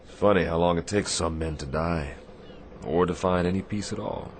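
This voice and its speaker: An actor playing a firm, compassionate but weary Navy captain in a radio drama, speaking with deliberate, commanding emphasis. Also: male voice, deep voice, close to an everyday voice. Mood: weary, somber. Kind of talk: dramatic radio theatre acting. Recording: faint background noise.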